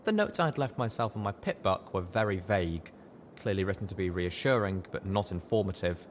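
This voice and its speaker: Male storyteller, lowish pitch, scripted first-person narration, audible background noise and ambience. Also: expressive, brisk. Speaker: audiobook reader.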